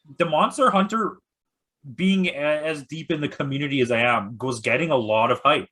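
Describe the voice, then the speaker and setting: A man's voice: fairly high pitch, normal speed, bright, forceful tone. A man chatting casually and bluntly about films as a podcast host, with exasperated critiques. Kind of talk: casual opinionated chat.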